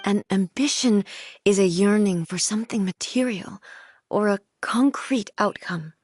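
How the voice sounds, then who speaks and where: A woman voicing a dignified female ruler who speaks in a measured, formal and reflective way, with a husky voice. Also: medium pitch, darkish tone, no room sound.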